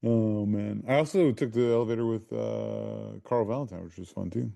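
A man co-hosting a football podcast chat, with a low, mellow voice. He speaks in a low-key, hesitant way, stumbling a little. The sound is close and dry. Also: normal speed, gravelly, dry recording. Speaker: podcast co-host.

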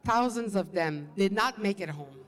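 A woman's mid-pitched voice rings out with long reverb as she gives a solemn public address. She is a native French speaker from Quebec, co-hosting the event. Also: lively intonation, normal speed. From Quebec, Canada. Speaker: event co-host. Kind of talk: solemn bilingual public speech.

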